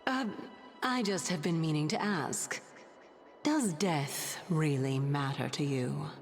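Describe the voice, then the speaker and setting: A woman's voice: deep voice, takes it slowly. An actress playing an unruffled, eerily blunt woman in a video game, hesitant and understated. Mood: mildly curious. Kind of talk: soft-spoken video game voice acting. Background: background music.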